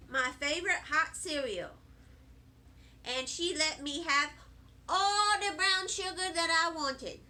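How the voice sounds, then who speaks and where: High, animated woman reading a children's story. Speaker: storybook reader. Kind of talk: expressive children's story narration.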